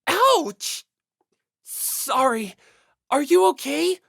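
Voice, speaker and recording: A woman acts out an earnest teenage schoolboy for an audiobook. He is hesitant and quick to apologise, easily flustered, with very lively pitch. The recording is dry and free of echo. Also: heavily acted. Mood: flustered, apologetic.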